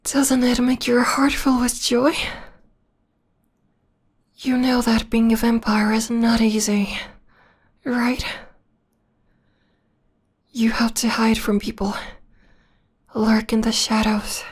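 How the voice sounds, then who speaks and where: A woman playing a possessive, obsessed vampire lover in an ASMR roleplay, speaking softly and coaxingly, often in a whisper. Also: a little high, flat delivery, husky. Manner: soft, slow, coaxing. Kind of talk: ASMR roleplay, intimate and slow.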